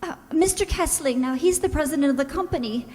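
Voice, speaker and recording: A woman playing a distraught young wife in a radio drama, anxious and halting, pleading for her sick husband. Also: high-pitched, mellow, lightly acted. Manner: halting, anxious, breaking off mid-sentence. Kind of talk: old-time radio drama acting. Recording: roomy hall with long reverb.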